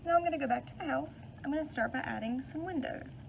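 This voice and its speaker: Gravelly-voiced woman teacher. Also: high-pitched, fast talker, noisy background. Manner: patient step-by-step instruction. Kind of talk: read-aloud and art lesson.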